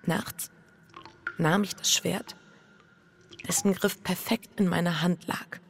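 An actress playing an anxious, guilt-ridden wife in a radio play, speaking introspectively in an intimate, often hushed way. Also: female voice, on the low side, fairly even intonation, slow, gravelly, slight background noise. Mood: haunted recollection. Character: anxious, guilt-ridden wife confessing her inner torment.

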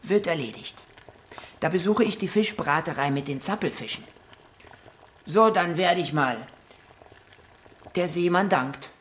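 A woman's voice: lowish voice, a little slow, long pauses, natural voice. A woman voicing an earnest, naive, dreamy male would-be seaman in a children's radio play, speaking solemnly and pedantically. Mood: polite, earnest.

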